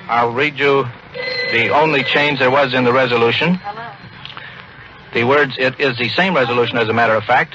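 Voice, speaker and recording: Bright-voiced American newsman on old radio. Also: medium pitch. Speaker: radio news correspondent. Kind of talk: live news reporting. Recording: dull, noisy 1940s radio broadcast.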